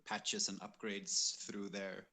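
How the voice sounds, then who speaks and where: Man, low voice with crisp forward brightness, informal game developer answering questions. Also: monotone, slow. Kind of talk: casual explanation.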